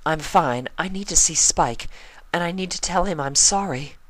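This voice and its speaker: Man as an anxious, high-voiced lady pony. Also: normal speed, fairly bright tone, dry recording, natural voice. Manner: pleading, earnest. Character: anxious, remorseful female cartoon unicorn pony.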